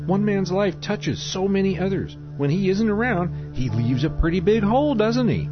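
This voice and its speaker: A man playing a gentle, earnest guardian angel who speaks instructively in an old Hollywood drama style. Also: high-pitched, expressive, normal speed. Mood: gently reflective. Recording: dull lo-fi audio with loud music.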